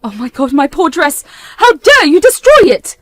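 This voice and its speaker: A woman voicing an outraged middle-aged mother who cries out in a shrill, loud voice. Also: very animated, normal speed, no room sound.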